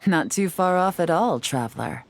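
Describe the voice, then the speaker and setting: A woman's voice: fairly low pitch, animated, moderate pace. A woman playing a poised, shrewd, confident secret agent in a video game, composed and coolly instructive. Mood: assured, instructive.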